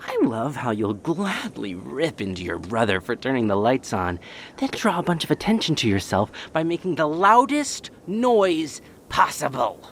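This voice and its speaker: Wildly expressive, wry bookish companion acted by a man. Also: medium pitch, moderate pace, slight background noise. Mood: wry, teasing. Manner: dry, wry, needling. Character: bookish, teasing, cautious companion of a fighter. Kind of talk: anime audio roleplay acting.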